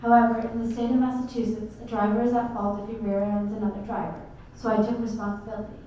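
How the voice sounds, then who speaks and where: A woman's voice: medium pitch, little pitch movement, quick pace, warm tone, slight background noise. A teenage girl reading her essay aloud steadily. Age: teens. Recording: echoey room from far off.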